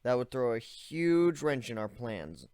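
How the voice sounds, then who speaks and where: Man playing a laid back wry griffin guy in a storybook reading, jokey offhand talk, mid pitch, dry sound. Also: very animated, measured pace, mellow, clean tone, dry recording. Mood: casual. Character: easygoing young male griffin, wry and nervous.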